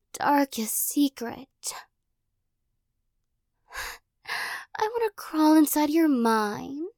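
A young woman voicing a possessive, teasing girl who corners the listener in an audio roleplay, giggling and coaxing, often dropping into soft whispers. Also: high voice, no room sound, studio quality, heavily acted.

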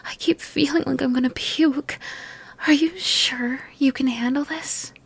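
A young woman in a whispered ASMR girlfriend roleplay, talking softly and affectionately while sick and worn out. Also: high voice, fairly even intonation, unhurried, vocal fry.

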